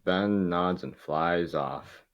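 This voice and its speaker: A man's voice: sits low, drawn-out words, smooth, dull audio. A man narrating stage directions in an amateur table read, stumbling now and then over the script.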